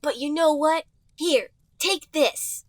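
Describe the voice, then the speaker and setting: A woman's voice: sits high, slow, no room sound, pitched above the performer's own voice. A woman voicing an angry, indignant young male fox in a fan fiction read-aloud. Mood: hesitant, huffy. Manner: indignant, exclaiming.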